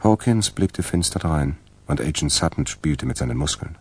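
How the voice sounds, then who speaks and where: A man narrating a radio crime drama from a script in a gravelly voice. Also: very deep voice, normal speed, no room sound. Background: music.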